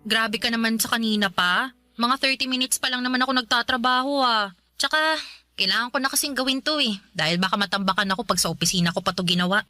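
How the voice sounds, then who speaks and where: A young career-minded girlfriend in a radio drama. Her voice sits fairly high with a bright, forceful edge, and she talks back with irritable sarcasm over music. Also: steady tone, quick pace, no room sound. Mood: defensive, irritated. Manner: snappy, sarcastic retorts. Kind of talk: Tagalog radio drama acting.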